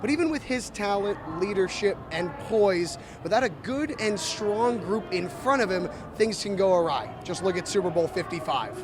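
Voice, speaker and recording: A man's voice: sits high, lively intonation, moderate pace, hardly any pauses, noisy background. A man working as a sports reporter, delivering a scripted report.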